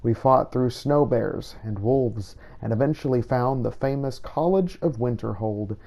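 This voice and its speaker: A man's voice: medium pitch, unhurried, gravelly, dark tone. A man narrating a gaming video in a scripted style, with roleplay monologue. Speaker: gaming video host, player.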